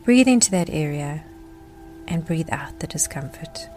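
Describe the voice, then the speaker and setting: A woman narrating a guided meditation as the guide, speaking in a gentle, measured way with deliberate pauses. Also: low voice, animated, faint music behind.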